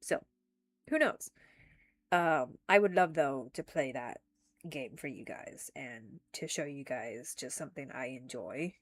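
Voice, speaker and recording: A woman's voice: extremely low pitch, unhurried, husky, no room sound. A young woman streaming live, talking casually and rambling with frequent restarts and giggles, a little congested.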